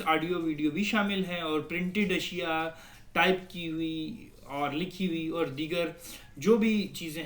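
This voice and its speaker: Male law lecturer, Pakistani accent, slightly high register, instructive question-and-answer delivery, very echoey room sound. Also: fairly bright tone. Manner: didactic, poses questions then answers them. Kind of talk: explanatory lecture.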